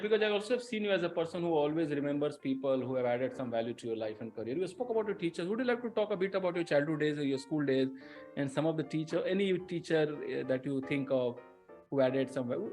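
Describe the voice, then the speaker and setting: A middle-aged man hosts an interview, rattling along at a fast clip. His voice sits slightly high, and he praises his guest freely. Faint music plays quietly under him. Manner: effusive and praising, laughs easily. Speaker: interview host. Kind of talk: unscripted conversational interview.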